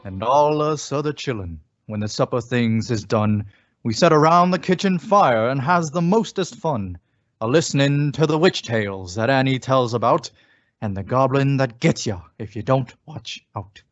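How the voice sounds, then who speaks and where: A man's voice: mid-range voice, moderate pace, no room sound, lightly acted. A man playing a folksy, wry announcer and narrator in an old-time radio comedy. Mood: wry storytelling. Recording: dry, dull home recording.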